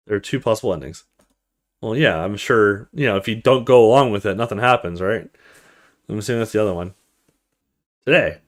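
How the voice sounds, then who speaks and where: Animated man narrating a horror game. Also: lowish voice. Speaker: let's play host reading visual novel text aloud. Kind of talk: dramatic narration of horror story text mixed with casual commentary.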